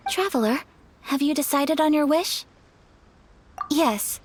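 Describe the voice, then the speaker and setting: A woman's voice: high-pitched, expressive, takes it slowly, slight background noise. A woman voicing a refined, gracious young noblewoman who speaks in formal, courteous phrasing. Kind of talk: game dialogue. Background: game music.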